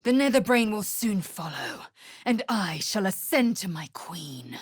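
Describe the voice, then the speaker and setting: Fierce alien warrior woman for a fantasy game, slow n husky, super imperious, clipped words. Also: mid-range voice, very animated, dry recording, studio quality. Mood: proud, resolute. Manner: imperious, clipped, solemn delivery. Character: fierce, haughty young female githyanki alien warrior. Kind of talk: fantasy video game voice acting.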